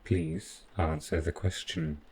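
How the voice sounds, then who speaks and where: Man playing a very deep, slow robot butler. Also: level tone, darkish tone, husky, exaggerated voice. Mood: clinical, insistent. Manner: formal, measured, precise, halting delivery. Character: devoted, obsessive robot butler, male, calmly possessive. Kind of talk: scripted roleplay audio drama monologue.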